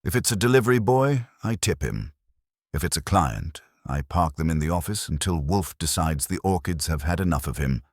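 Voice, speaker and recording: A man's voice: deep voice, expressive, normal speed, no echo, studio quality. A man narrating a first-person detective story in a wry, hard-boiled manner. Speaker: audiobook narrator.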